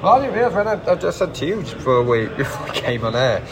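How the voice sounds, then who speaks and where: A man's voice: fairly high pitch, big pitch swings, quick pace. A man co-hosting a casual podcast chat outdoors, rambling and hesitant with frequent restarts. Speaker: podcast co-host. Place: outdoors by a canal before an away match. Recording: busy crowd noise behind. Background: crowd noise.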